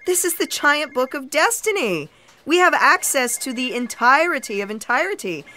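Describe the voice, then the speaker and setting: A woman playing an imperious, impatient editor of destiny, brisk and officious, in comic sci-fi audio theatre. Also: high voice, sing-song, pushed and bright, a little hiss or hum, heavily acted.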